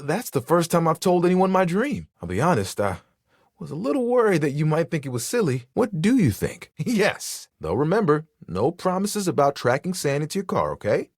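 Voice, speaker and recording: A man's voice: on the high side, no room sound, clean studio sound, full character voice. A man voicing a flirty, laid-back living towel in a video game, playful and heartfelt. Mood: hopeful, tender. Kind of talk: video game character acting.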